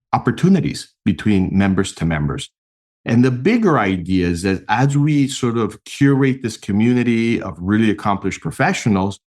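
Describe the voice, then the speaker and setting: A middle-aged man from Mexico, a podcast guest and founder, explaining his work in an animated, earnest way with a few restarts. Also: lowish voice. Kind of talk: conversational interview answers.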